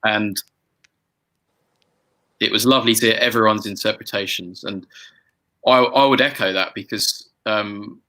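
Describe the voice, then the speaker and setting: A man with a fairly low but bright, forward voice. He talks casually as a musician in a group chat, feeling his way through sentences with repeated words and hesitations. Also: fairly even intonation, a little slow, pauses often, poor audio. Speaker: musician and song arranger hosting a group chat. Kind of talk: casual conversational chat about music-making.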